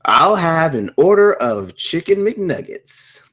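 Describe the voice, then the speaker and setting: A brash, unruly defendant in a comedy table read, acted out by a reader, argumentative and dim. The pitch leaps around a lot. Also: very animated, slow pace, fairly bright tone, exaggerated voice.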